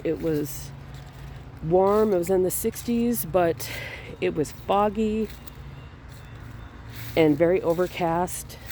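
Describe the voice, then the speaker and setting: A man from Minnesota, stuffy from a cold, chatting in a relaxed, rambling way on a walking vlog outdoors. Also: on the high side, a little hiss or hum. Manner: relaxed, rambling, with small laughs and restarts. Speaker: artist vlogger. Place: outdoors in a cemetery on a fall day.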